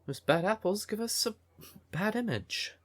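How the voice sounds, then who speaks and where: A man playing a devoted, emotional siren boyfriend in an intimate fantasy ASMR audio roleplay, with a breathy, tender voice. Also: high voice, expressive, a bit bright. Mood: annoyed, hurt. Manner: tender, unhurried, emotive, with pet names. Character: devoted male siren nightclub singer, affectionate boyfriend, easily exasperated.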